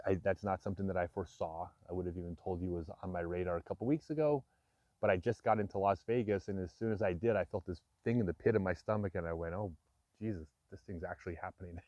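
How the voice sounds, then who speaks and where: Frank fifty-something man, low dry voice. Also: dry recording, lo-fi sound. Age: 50s. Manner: frank, self-deprecating, reflective with chuckles. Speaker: vlogger. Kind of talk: candid personal talk to camera.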